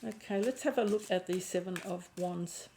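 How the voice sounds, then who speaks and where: A woman with a croaky voice and a lingering cough giving an intuitive tarot reading, thinking aloud and often restarting her phrases. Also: fairly low pitch, normal speed, dark tone, slight background noise. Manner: musing aloud, restarting phrases, clearing throat. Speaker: tarot reader.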